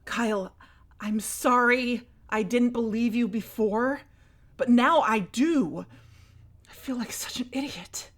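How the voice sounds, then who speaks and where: Audio drama: actress as frightened woman, urgent, higher register. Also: takes it slowly. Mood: panicked, urgent. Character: frightened, urgent young woman podcaster hiding a secret.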